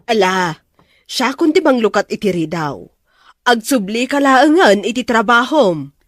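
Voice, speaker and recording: Woman as measured lady of the house. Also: a little high, expressive, dry recording, studio quality. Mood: composed. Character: composed madam of the house, employing a maid. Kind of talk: radio drama acting.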